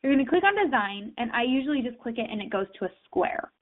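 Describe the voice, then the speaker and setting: A woman's voice: on the high side, expressive, quick pace. A woman with a slightly raised voice talks her viewers through a tutorial in a loose, chatty way, full of fillers. She is fighting a cold and sniffles now and then. The sound is thin and tinny, like a phone line. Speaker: tutorial host. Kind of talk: casual screen-share tutorial.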